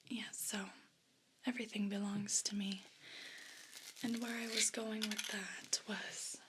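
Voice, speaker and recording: A woman voicing a haughty, possessive evil pet cat in an ASMR role play, whispering in a breathy, condescending, complaining way. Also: medium pitch, level tone, background noise, lightly acted. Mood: smug, possessive. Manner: slow, deliberate, condescending, disdainful.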